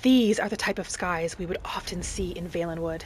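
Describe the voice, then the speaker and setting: A woman narrating a game roleplay in character as a gentle, hopeful young elf adventurer, softly musing aloud. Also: lowish voice, moderate pace, a little hiss or hum. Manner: soft, musing, speaking thoughts aloud. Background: music.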